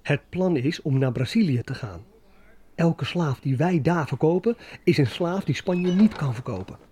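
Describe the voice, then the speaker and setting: A husky old-time sea captain, calculating but deferential, soft dark tone, history audio drama. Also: male voice, fairly high pitch, animated, normal speed.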